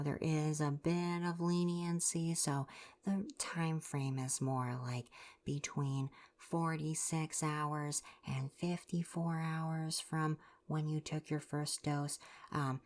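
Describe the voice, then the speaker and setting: An eccentric, absent-minded healer god of ancient Greece, acted by a woman in an ASMR roleplay. The voice is very deep. The talk is politely formal, then wanders off into chatty, casual musing. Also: darkish tone, slight background noise. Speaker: doctor.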